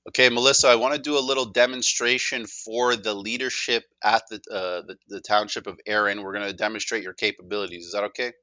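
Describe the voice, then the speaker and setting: A man with a Canadian accent and a low, forceful voice gives an informal demo pitch. He talks discursively, stumbling and doubling back on words. The sound is dry and close. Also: steady tone, fast talker, hardly any pauses, no room sound. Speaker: presenter of a technology demo. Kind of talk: casual sales presentation, conversational.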